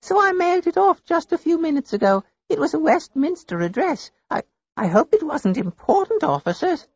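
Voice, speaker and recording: A man acts out a gossipy shop lady in a storybook, her voice perched high and fluttering with lively swoops. She rattles on in a chatty, breathless way. The recording is dull and dry, with no room around it. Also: moderate pace, no room sound, exaggerated voice. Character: chatty elderly female shopkeeper. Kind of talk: storybook reading.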